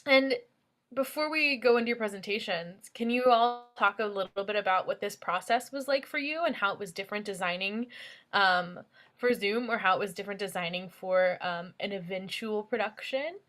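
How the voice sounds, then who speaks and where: A woman's voice: medium pitch, normal speed, a bit bright. A woman moderating an online panel in a chatty, informal way, speaking over a video call. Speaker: moderator of a panel with theater designers.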